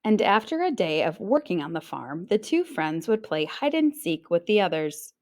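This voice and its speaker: A woman reading a children's picture book aloud as a teacher would, expressive in her narration and acting out little sounds. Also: fairly low pitch, normal speed.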